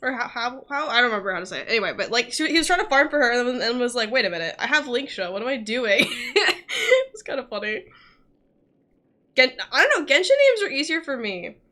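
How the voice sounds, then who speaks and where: A woman's voice: on the high side, very animated, fast talker, sharp tone. A young woman chatting casually and in a rambling way while she streams a video game, often giggly. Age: young adult.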